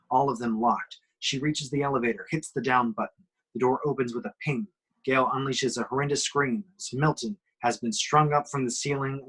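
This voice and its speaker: A man's voice: medium pitch, a bit bright, husky, low-quality recording. Man narrating a horror screenplay. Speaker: reader of action descriptions. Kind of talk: scripted screenplay narration at a table reading.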